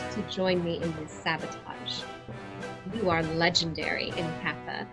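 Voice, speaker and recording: A woman's voice: mid-range voice, fairly bright tone, lightly acted. A woman acts out a haughty society lady in a dramatic reading. She speaks in a mid-range voice with poised, formal, imperious phrasing, over faint music. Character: haughty fashion-snob woman, former sorority president.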